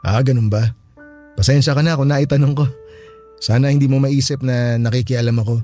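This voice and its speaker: A man's voice: mid-range voice, steady tone, fairly quick, mellow, close to an everyday voice. An actor playing a polite, earnest young single father in a Filipino radio drama. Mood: tentative. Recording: music bed under the voice.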